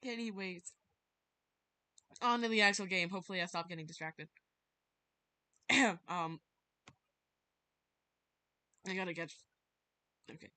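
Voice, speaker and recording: A woman's voice: lowish voice, a little slow, long pauses, bright, forceful tone, no room sound. A woman livestreaming a visual novel, chatting casually in a rambling, dramatic, easily spooked way and sometimes reading the story aloud. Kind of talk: casual game livestream commentary with some read-aloud narration.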